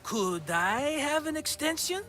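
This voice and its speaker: Very high-voiced, theatrical hustler. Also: male voice, unhurried, soft background music, full character voice. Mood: nervous wheedling. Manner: theatrical, ingratiating, animated. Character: wheedling, theatrical, scheming male small-time hustler.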